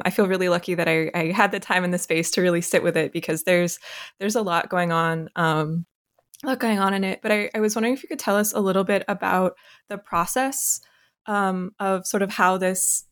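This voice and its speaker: A woman's voice: mid-range voice, no echo. A female podcast host puts questions to a guest in a conversational, tentative way, often rewording as she goes. The sound is close and dry, with no room on it. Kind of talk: conversational interview hosting.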